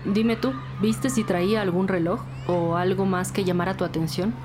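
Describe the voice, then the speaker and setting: A woman's voice: medium pitch, steady tone, moderate pace, close to an everyday voice. A woman playing a senior police investigator in an audio drama, methodical and businesslike, with official phrasing and music behind. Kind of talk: scripted audio drama dialogue.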